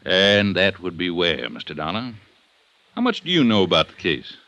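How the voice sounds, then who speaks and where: An actor playing a cautious, courteous but suspicious deputy investigator in 1950s radio drama, with deliberate, formal, lawyerly phrasing. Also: male voice, low-pitched, sing-song, pushed and bright, no room sound, full character voice. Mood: measured, courteous.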